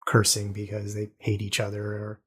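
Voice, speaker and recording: Husky-voiced man interviewing an author. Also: on the low side, completely flat delivery, moderate pace, fairly bright tone. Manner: enthusiastic, rambling praise. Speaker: podcast interviewer. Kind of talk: casual interview questions.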